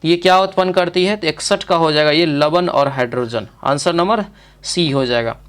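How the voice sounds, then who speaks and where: A man's voice: a little high, moderate pace, fairly bright tone, clear, resonant tone. A man with a Bihari Hindi accent teaching through exam questions, often checking that listeners follow. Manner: instructional, repeatedly checking with listeners. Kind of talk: exam question walkthrough lesson.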